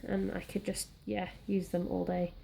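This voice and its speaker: Welsh woman, young, slightly croaky from a cold, chatty and rambling, warm gravelly tone. Casual crafting vlog. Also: lowish voice, level tone, normal speed, a little hiss or hum. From Wales. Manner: chatty, rambling, self-deprecating. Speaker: scrapbooking crafter.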